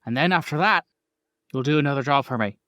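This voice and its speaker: A man playing a gruff, foul-mouthed mob boss with a blunt, commanding, bargaining manner in a tabletop game, over faint music. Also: a little high, fast, a bit bright, no echo, clean studio sound, close to an everyday voice. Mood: firm, commanding.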